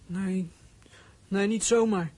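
An actor playing a frightened, defensive outcast boy in a radio play, speaking in a halting, stammering, emotional way. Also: mid-range voice, steady tone, darkish tone, slightly rough. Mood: sullen, bitter.

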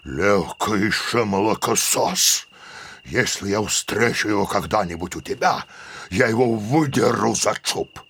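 An actor playing a stern, boastful older village headman, husky and threatening, in a theatrical audio drama. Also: lowish voice, animated, a bit bright, exaggerated voice. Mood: scornful. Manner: slow, blustering, threatening.

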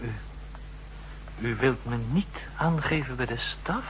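An actor playing an anxious, deferential subordinate in a 1960s radio drama, hesitant and pleading. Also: male voice, mid-range voice, animated, slow pace, slight background noise, rough recording. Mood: submissive, nervous. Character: cornered, nervous male subordinate, anxious and deferential.

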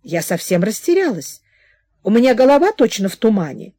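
A woman's voice: fairly high pitch, big pitch swings, fairly quick, mellow, no echo. A woman reading the part of a confused young woman who falters and apologizes in a storybook reading. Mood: bewildered. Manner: hesitant, apologetic.

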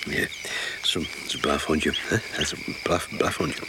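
Polite nervous visitor in radio drama, really low voice, stumbles over words, very loud crickets behind. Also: male voice, fairly even intonation. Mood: polite, hesitant. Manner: halting, stammering, repeats words. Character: polite, hesitant, uneasy male visitor from abroad. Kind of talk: naturalistic radio play acting. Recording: radio play with loud insect noise. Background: loud crickets, frogs and animal sounds.

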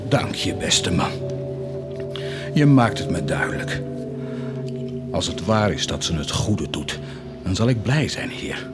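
A man's voice: sits low, big pitch swings, measured pace, long pauses, over loud music. An actor playing a proud, tormented, brooding man in a theatrical radio drama, moving between muttering and anguished outcries. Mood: brooding, urgent. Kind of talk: stage-like radio drama acting.

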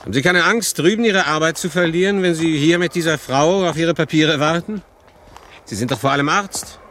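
A man acting a guarded, quietly jealous refugee who recounts his exile in a German radio drama. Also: on the high side, brisk, a little hiss or hum, close to an everyday voice. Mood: challenging.

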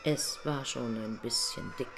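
Low-voiced, earnest angel. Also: female voice, a little slow, vocal fry, soft background music, full character voice. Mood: quiet, hesitant. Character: female angel, earnest, plain-spoken companion of the prophet. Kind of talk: literary radio play acting.

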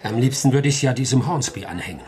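Ein Schauspieler spielt in einem Hörspiel einen direkten, brummigen Ermittler, der knapp formuliert. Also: male voice, medium pitch, animated, quick pace, darkish tone, natural voice. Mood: blunt. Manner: terse, blunt. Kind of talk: German radio play acting.

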